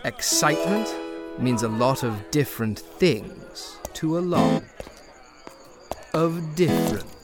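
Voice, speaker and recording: Victorian detective, pompous, wordy, slow, slightly high voice, comic audio drama. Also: male voice, expressive, music in the background, crowd noise. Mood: grandly musing. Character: pompous, bumbling amateur Victorian gentleman detective. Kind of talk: comedic audio drama, theatrical narration.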